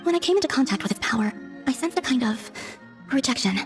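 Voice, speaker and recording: A woman playing a serene, enigmatic young moon goddess in a video game cutscene, speaking formally, softly and with detachment. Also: high-pitched, lively intonation, soft background music. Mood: detached. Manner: measured formal phrasing, soft, detached.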